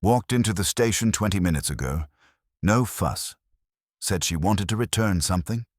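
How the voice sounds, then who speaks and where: Man reading as plain-spoken, deep, husky police sergeant. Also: lively intonation, moderate pace, no room sound, clean studio sound. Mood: matter-of-fact. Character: plain-spoken male police sergeant reporting news to superior. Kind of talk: audio drama narration dialogue.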